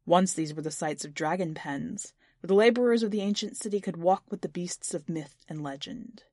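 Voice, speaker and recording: A woman reading the part of an eccentric, excitable man in an audiobook story, declaiming and firing probing questions. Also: on the low side, moderate pace, dry recording, clean studio sound. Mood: excited, lecturing.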